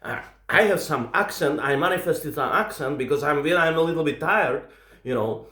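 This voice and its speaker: A man's voice: on the high side, normal speed, echoey room. Czech-accented man telling a comic story. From Czech Republic. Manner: animated, acts out voices of people in his story. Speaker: stand-up comedian. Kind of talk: stand-up storytelling.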